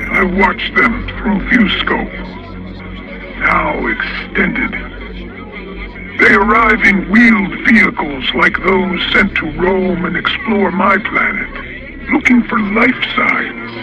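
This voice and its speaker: A woman playing a cold Martian invader in a radio drama, speaking in a slow, halting, menacing way. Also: fairly low pitch, low-quality recording. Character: cold Martian invader watching humans from its capsule.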